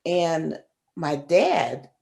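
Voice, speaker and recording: A woman's voice: sits low, very slow, vocal fry. Proper-speaking woman guest from Chicago. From Chicago, US Midwest. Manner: proper, careful speech, animated storytelling. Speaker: podcast guest, author. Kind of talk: casual chat.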